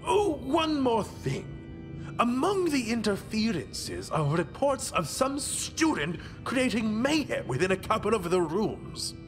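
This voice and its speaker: An actor playing a pompous, menacing authority figure with a theatrical, deliberate delivery in a video game. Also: medium pitch, very animated. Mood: displeased, commanding. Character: pompous, menacing authority ruling over young guardians. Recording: faint music behind.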